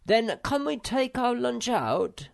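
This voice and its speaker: A man voicing an earnest young male troll who leads his friends, in a raised, brighter voice. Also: medium pitch, big pitch swings, slow, creaky, pitched above the performer's own voice, full character voice.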